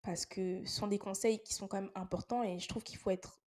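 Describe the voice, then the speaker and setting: A young woman hosts a faith podcast while she has a head cold. She speaks straight to her listeners in an earnest, encouraging tone, and her voice sits at an ordinary middle pitch. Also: steady tone, brisk, a little mellow. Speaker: podcast host. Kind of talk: casual faith talk podcast.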